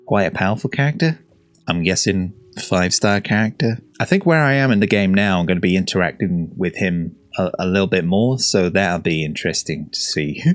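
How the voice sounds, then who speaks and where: A man's voice: sits low, dry recording. A man hosting a casual reaction video, rambling and hesitant, often searching for words. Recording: dry close voice over faint game music. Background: faint game music.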